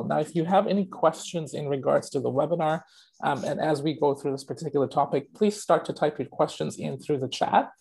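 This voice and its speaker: A man hosting a webinar, hoarse as his voice recovers from a throat infection, talking directly to his audience in an upbeat way. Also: a little high, warm tone. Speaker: webinar host. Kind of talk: webinar presentation.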